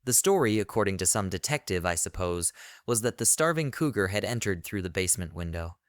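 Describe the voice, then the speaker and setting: Smooth low-voiced man narrating horror. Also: lively intonation, a little fast, no echo, studio quality. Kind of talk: scripted first-person horror narration.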